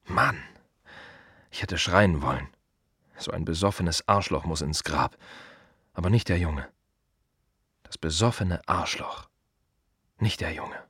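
An actor playing a blunt, brooding gravedigger in a radio drama monologue, speaking colloquially in short, repeated, emphatic phrases. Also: male voice, deep voice, takes it slowly, pauses often, bright, forceful tone, breathy edge, no echo, studio quality, exaggerated voice. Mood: bitter, angry. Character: blunt, brooding male gravedigger musing on life and death.

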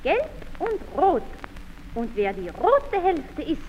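A woman's voice: very high voice, wildly swooping pitch, takes it slowly, in a large hall, lo-fi sound, heavily acted. An actress playing a proud, scheming evil queen in an old radio play, with a haughty, cutting manner. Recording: scratchy 1930s radio recording.

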